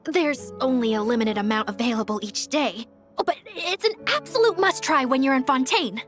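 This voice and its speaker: A woman's voice: sits high, lively intonation, moderate pace. A woman voicing an eager, polite young female reporter in a video game. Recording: music under the voice.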